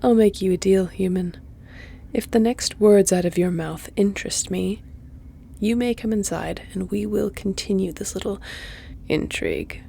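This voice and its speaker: A woman voicing a flirtatious, predatory vampire lady in an intimate audio roleplay, teasing and haughty, often sinking into a breathy whisper. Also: mid-range voice, moderate pace, warm tone, slight background noise, natural voice. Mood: seductive, menacing.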